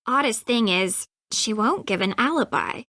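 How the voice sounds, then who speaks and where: The prickly young American sidekick in a point-and-click mystery game, sarcastic and defensive, fairly high voice in a bone-dry booth. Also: female voice, animated, moderate pace, sharp tone, no room sound. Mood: worried, earnest. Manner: sarcastic, clipped, emphatic. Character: snippy, defensive young woman, grudgingly asking for help. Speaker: video game character, voice actress. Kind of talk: acted dialogue in an adventure game.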